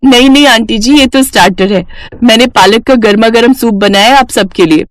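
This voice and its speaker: A woman's voice: a little high, fairly quick, no room sound, voice pushed up, close to an everyday voice, rough recording. A woman voicing a sweet, eager young daughter-in-law in a moral story. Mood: cheerful, proud. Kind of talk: animated Hindi story dialogue.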